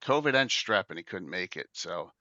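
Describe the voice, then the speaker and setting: Host, male, South Jersey accent, chatty with lots of restarts, bright animated voice, dry close sound. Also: low voice, moderate pace, no echo, muffled recording. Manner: chatty, with filler-heavy restarts. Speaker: podcast host. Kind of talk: casual livestream chat.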